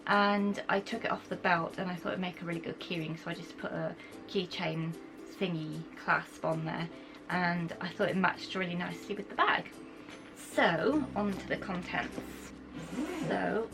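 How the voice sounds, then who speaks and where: A young British woman, croaky from a cold, chatting enthusiastically as she shows off her things to camera. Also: lowish voice, poor audio. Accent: British English. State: croaky from a cold, sore throat. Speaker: vlogger. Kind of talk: casual chat to camera. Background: background music.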